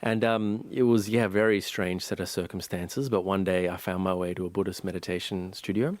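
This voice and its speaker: Man from Sri Lanka, meditation teacher in an interview; deep voice, unhurried and reflective, dry close studio sound. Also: no echo. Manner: calm, thoughtful, many pauses and restarts.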